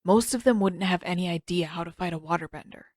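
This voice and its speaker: A woman's voice: on the low side, fast talker, darkish tone, no echo, clean studio sound, lightly acted. A woman voicing a self-doubting, reserved teenage prince with a hesitant, subdued manner in an audiobook. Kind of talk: audiobook character dialogue.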